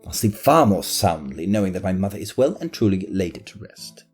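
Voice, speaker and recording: A man's voice: low-pitched, big pitch swings, moderate pace, darkish tone, husky, faint music behind, full character voice. A man playing a haughty nobleman who talks in a clipped, sardonic, imperious way in an audio drama. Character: haughty male nobleman hiring a ghost hunter.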